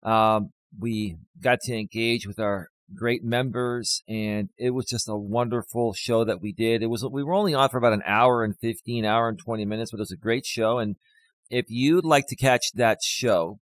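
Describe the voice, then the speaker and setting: A man hosting his own live stream as a life coach, talking casually to viewers with a slightly hoarse voice and lots of fillers. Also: mid-range voice, normal speed, no echo. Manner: rambling, many fillers, talks straight to viewers.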